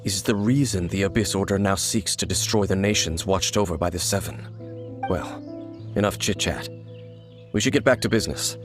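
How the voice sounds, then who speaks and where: An actor playing an aloof, guarded wandering swordsman in a video game, speaking in a grave, measured, terse manner. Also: on the low side, natural voice. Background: game music.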